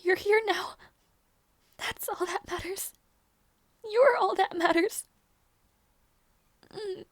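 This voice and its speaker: A woman playing a gentle young princess in an intimate audio roleplay, devoted and emotional, with a breathy, halting delivery. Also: extremely high pitch, no room sound. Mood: tender, emotional. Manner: breathy, halting, stammering, often near whisper.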